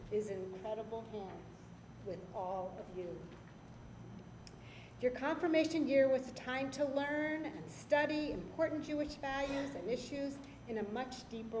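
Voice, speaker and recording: A woman speaking as temple president, congratulating a confirmation class at a service. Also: a little high. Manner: warm congratulatory address. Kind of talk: speech at a service. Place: synagogue sanctuary. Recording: echoey sanctuary with faint noise.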